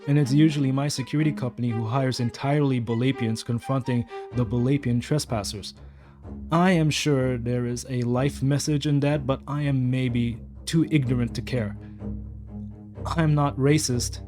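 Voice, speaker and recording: A man's voice: mid-range voice, steady tone, moderate pace, over music, lightly acted. A man playing a blunt, defensive, fed-up security guard rambling through a confession in an audio story monologue. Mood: exasperated.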